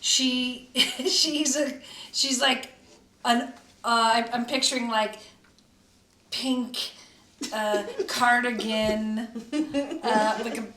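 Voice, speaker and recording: Cast member, woman: slow, slightly high, chuckling, halting, roomy distant sound. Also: steady tone, sharp tone, a little hiss or hum. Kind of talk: casual chat. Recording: roomy room, distant mic.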